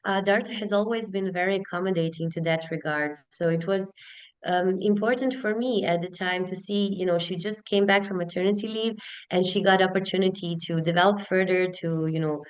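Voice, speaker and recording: Female HR professional, 30s, Bosnian accent. Smooth, slightly low voice, conversational and earnest in a webinar interview. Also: a little fast. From Bosnia and Herzegovina. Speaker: HR business partner, webinar guest.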